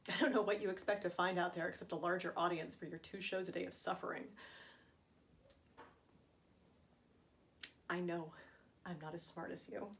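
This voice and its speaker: A woman's voice: on the low side, slight background noise, close to an everyday voice. A woman acting a dramatic stage monologue as a wounded, defiant wife pleading fiercely with her husband, emphatic and emotionally raw. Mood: hurt, restrained.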